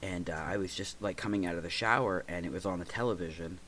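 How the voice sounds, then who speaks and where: Man, forties, very low voice, stuffy nose, congested, halting speech, lots of fillers, podcast host. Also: a bit bright, a little hiss or hum. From United States. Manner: halting, with many fillers and restarts. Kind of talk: personal reflective talk.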